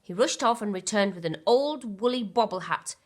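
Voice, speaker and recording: A woman's voice: medium pitch, expressive, normal speed. A woman reading a children's storybook aloud expressively, like a primary school teacher.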